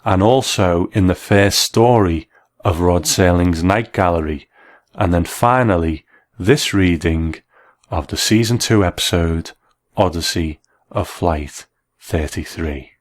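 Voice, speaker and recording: A man's voice: deep voice, slow. A man hosting a scripted video essay, reviewing in an enthusiastic, explanatory manner. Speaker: host and reviewer.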